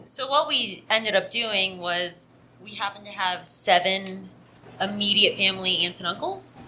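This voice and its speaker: A woman's voice: mid-range voice, measured pace, sharp tone, slight background noise, rough recording. A woman from the audience chatting on stage at a live comedy podcast, a bit unused to the handheld mic. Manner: chatty, apologetic, not used to mics. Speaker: audience member asking advice. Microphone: handheld microphone.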